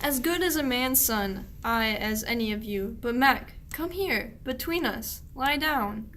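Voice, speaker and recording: A woman's voice: fairly high pitch, lively intonation, a little slow, fairly bright tone, a little hiss or hum. A woman playing a wary, sardonic shepherd in a medieval radio play, speaking archaic rhyming lines. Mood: grumbling.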